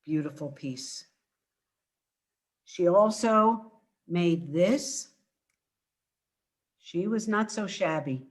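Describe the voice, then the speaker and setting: An older woman speaks at an unhurried, slow pace, pausing and restarting, then leaning on key words. She sounds like an author giving an informal talk from home on a video call. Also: on the low side, expressive. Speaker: author presenting her book. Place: indoors at home.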